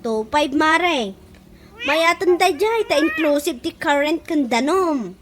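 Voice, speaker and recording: A woman's voice: high voice, animated, slow. A woman with an Ilocano accent playing a chatty, brash friend who works in the city, in a radio comedy drama. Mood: frank, teasing. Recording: clearly noisy radio drama recording.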